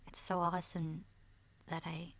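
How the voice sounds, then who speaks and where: A woman voicing a chatty, candid young girl surviving a zombie apocalypse in a soft, breathy, half-whispered ASMR roleplay, talking in a hesitant, rambling way. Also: lowish voice, flat delivery, measured pace. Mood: grateful. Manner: hesitant, rambling, youthful casual phrasing.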